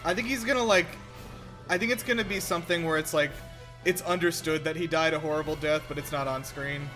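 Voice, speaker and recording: A man's voice: fairly high pitch, a little fast, over music. A man chatting casually as a co-host reacting to a film, jokey and amused, swearing freely. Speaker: podcast co-host. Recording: film soundtrack playing behind.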